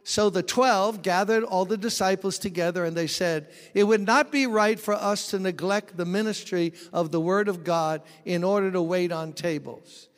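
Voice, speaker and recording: A man's voice: high voice, moderate pace, few pauses, big-room sound. A pastor preaching a sermon, explaining scripture and telling stories from his own ministry. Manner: expository preaching with personal stories.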